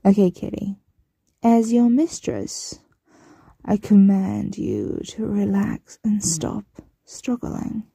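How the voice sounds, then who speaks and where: A woman playing a possessive, smugly menacing mistress in an ASMR roleplay, breathy and often whispering, with a teasing, condescending coo. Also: medium pitch, very animated, mellow, no echo, full character voice. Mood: stern, commanding. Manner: teasing, condescending, drawn-out cooing.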